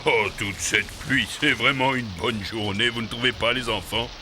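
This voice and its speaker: A man's voice: medium pitch, moderate pace, over music, lightly acted. A man playing a kindly old king of the trees in a French cartoon dub, speaking in a grandfatherly, ceremonious manner. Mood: kindly, solemn. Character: kindly old tree king, solemn and slow.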